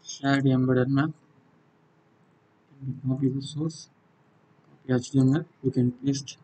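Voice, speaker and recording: A man with a South Indian accent and a husky voice, giving an unscripted software walkthrough in halting, non-fluent phrases. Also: mid-range voice, little pitch movement, poor audio. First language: Tamil. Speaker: software demonstrator. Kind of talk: unscripted screen-recorded tutorial walkthrough.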